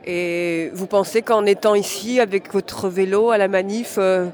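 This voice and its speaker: A man's voice: high-pitched, fairly even intonation, measured pace, darkish tone. A man conducting street interviews at a demonstration, prompting with probing follow-up questions. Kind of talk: vox-pop questioning.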